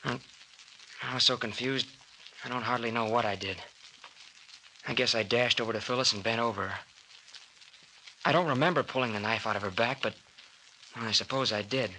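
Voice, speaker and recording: A man's voice: medium pitch, a little fast, pauses often, bright, forceful tone, close to an everyday voice, low-quality recording. A man acting a defensive, flustered young murder suspect in an old radio drama, hesitant and earnest. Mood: confused, anxious. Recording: hissy old-time radio recording.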